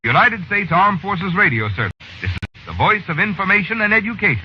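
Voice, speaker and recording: A man's voice: high voice, big pitch swings, a little fast, over music. A man reading a formal 1940s sign-off as a military radio service announcer. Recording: dull, very noisy old radio recording.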